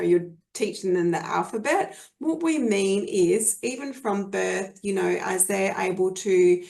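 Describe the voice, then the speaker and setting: A woman's voice: medium pitch, vocal fry, a little mellow. An Australian woman presenting a webinar on early literacy for parents, explaining things in a friendly way with many fillers and restarts.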